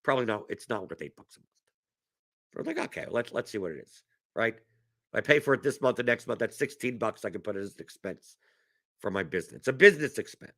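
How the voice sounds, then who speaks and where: Guy hosting a fantasy sports stream, bright forceful voice, kinda hoarse and coughy, rambles and restarts a lot. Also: on the low side, animated, quick pace. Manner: rambling, repeats words while restarting thoughts. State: losing his voice, coughing. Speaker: fantasy sports strategy show host. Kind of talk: casual livestream talk answering chat.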